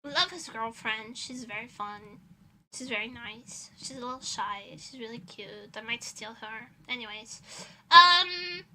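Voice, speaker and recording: A woman chatting casually on a livestream in a playful, rambling way with animated asides, a native Portuguese speaker. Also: fairly high pitch, unhurried, low-quality recording. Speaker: streamer.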